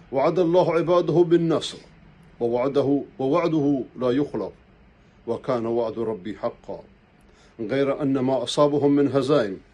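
Older male preacher, husky, mellow tone, slow measured sermon delivery, lapel mic in a small room. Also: medium pitch. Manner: slow, measured recitation of formal Arabic prayers. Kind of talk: Friday sermon. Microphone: clip-on lapel mic.

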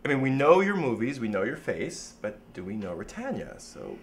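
A man in his forties hosts a casual interview. His pitch swings up and down in a very lively way, and a faint noise sits under the voice. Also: moderate pace. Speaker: show host, interviewer. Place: small indoor poster-filled room, cooking show set.

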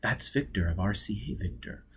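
A man voicing a discreet female companion who gives hushed, muttered prompts in a story reading. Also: fairly low pitch, expressive, normal speed, gravelly, slightly rough, exaggerated voice. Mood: hushed prompting.

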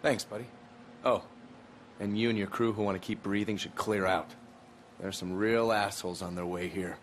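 An actor playing a brisk, sardonic agent sidekick in a crime video game. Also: male voice, lowish voice, fairly even intonation, natural voice. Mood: wry, brisk. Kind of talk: video game drama acting. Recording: loud car and gunfire noise.

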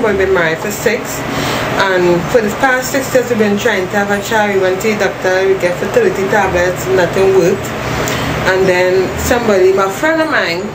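A young woman, telling her story as a grateful client in a rambling, chatty way. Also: mid-range voice. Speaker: satisfied client giving a testimonial. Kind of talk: casual testimonial. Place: indoor room, seated on a red sofa. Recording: distant mic, loud air-conditioner and traffic noise.

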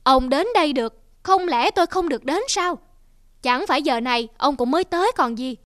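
Brief: fawning, sneering cartoon courtier voiced by a woman, bright high tone. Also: fairly quick, no room sound, studio quality. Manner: flattering, smooth-tongued, sneering to rivals. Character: fawning scheming middle-aged male court official.

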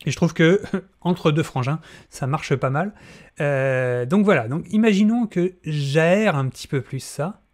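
A middle-aged man presents a tutorial in an informal way. His voice is fairly high for a man. He speaks close to a desk microphone at home. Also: a little slow, a little mellow. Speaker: audio fiction tutorial host. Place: small room at home. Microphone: close desk microphone, wearing headphones.

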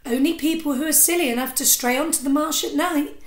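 A tender, reassuring mother from a children's story, played by a woman. The delivery is soothing and gentle, heard in an echoey room. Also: sits high, a little fast, voice pushed up. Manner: hushed, soothing.

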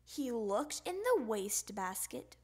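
A woman's voice: fairly high pitch, big pitch swings, takes it slowly, a little mellow, husky. A woman reading a children's picture book aloud as narrator, expressively, with playful sound words. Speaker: storybook narrator.

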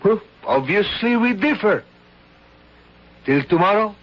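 A suave courtly emissary speaks in formal, ceremonious phrases, his voice pitched slightly high. The old radio broadcast is muffled and carries a clear hiss. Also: animated, unhurried. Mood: condescending. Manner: formal, ceremonious, smoothly commanding. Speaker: foreign official escorting the actress. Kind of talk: 1970s radio drama acting.

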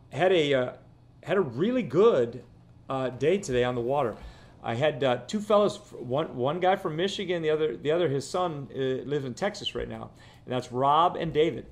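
A man in his fifties, a fishing guide hosting his vlog from his living room, talking casually and rambling, often repeating words. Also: fairly high pitch. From Florida. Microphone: camera close up, seated.

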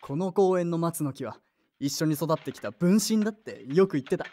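A woman's voice: lowish voice, lively intonation, brisk, warm tone. A woman voicing an earnest, anxious teenage boy in a radio drama, boyish and emotional with blunt speech. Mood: subdued. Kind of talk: radio drama acting with narration.

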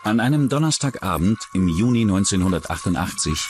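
A low-voiced man narrates a true-crime documentary from a script. His delivery moves widely in pitch, and music plays clearly behind him. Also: lively intonation, brisk.

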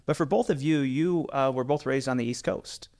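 Upbeat podcast host, studio mic. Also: male voice, mid-range voice, moderate pace, a little mellow. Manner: upbeat, with frequent "uh" fillers and restarts. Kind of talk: casual podcast interview. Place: small studio with brick wall, indoors. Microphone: studio mic on boom arm.

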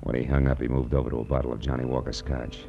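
An actor playing a terse, sardonic American private detective in an old radio crime drama. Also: male voice, a bass voice, level tone, very fast, gravelly, dull audio, soft background music. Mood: wry narrating. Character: hard-boiled, wisecracking private detective.

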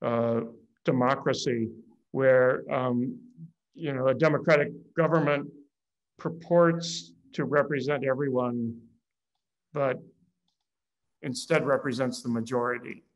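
A middle-aged man talks slowly and unhurriedly on a video call, in a voice of ordinary middle pitch. He pauses often, fills gaps with "um" and "uh" and restarts his sentences. He speaks as an academic panelist from a small room at home. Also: fairly even intonation, muffled recording. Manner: slow, halting, many fillers and restarts.

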